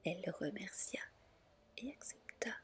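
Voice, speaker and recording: A woman reading a story aloud as ASMR in a breathy whisper, soft, gentle and unhurried. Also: mid-range voice, expressive.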